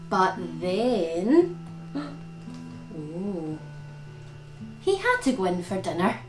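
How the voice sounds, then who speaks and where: A woman reads a picture book to young children at an unhurried pace, her pitch swooping expressively as she leans on key words. The room adds some echo, and guitar music plays along with her storytelling. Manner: animated, dramatic emphasis on key words. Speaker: children's storytime reader and host.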